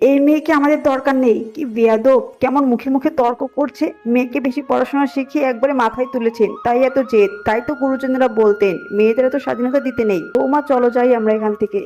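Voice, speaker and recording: Lady doing a greedy old cartoon mother-in-law, high voice, snooty and brusque, bit of music behind. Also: fairly even intonation, fast talker, few pauses, warm tone, close to an everyday voice. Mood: scornful, indignant. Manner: brusque, scornful. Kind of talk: Bengali moral-story cartoon dialogue.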